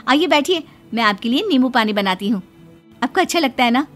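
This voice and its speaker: A woman's voice: high voice, lively intonation, a little fast, fairly bright tone, soft background music. A woman voicing a young, dutiful daughter-in-law in a narrated family story. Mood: polite, earnest. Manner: polite, eager to please.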